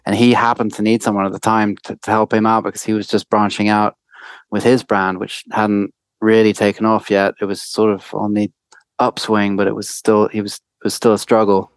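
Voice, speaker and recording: Low male guest from France, hesitant and self-correcting, dry studio sound. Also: little pitch movement, moderate pace, clear, resonant tone, no room sound. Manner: hesitant, many restarts, soft laughs. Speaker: winemaker guest. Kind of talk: conversational interview.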